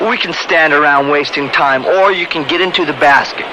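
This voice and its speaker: An actor playing a cocky, controlling young balloon instructor in a radio drama, brash and smooth-talking. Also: male voice, fairly high pitch, moderate pace, lightly acted. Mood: confident, bossy. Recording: noisy old radio drama recording.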